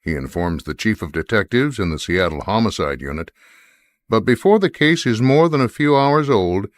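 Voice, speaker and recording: A man announcing a radio mystery, reading scripted narration in a measured, dramatic way. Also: deep voice, dry recording.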